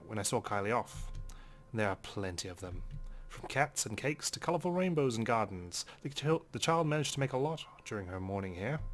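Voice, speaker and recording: A man's voice: fairly low pitch, fairly bright tone, a little hiss or hum. A man reading a visual novel aloud in dramatic first-person narration for a let's play.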